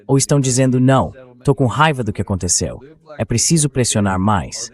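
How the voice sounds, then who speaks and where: A man reading an interview host's questions in a measured, explanatory way, as a translated voice-over. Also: mid-range voice, animated, slightly rough. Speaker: interview host.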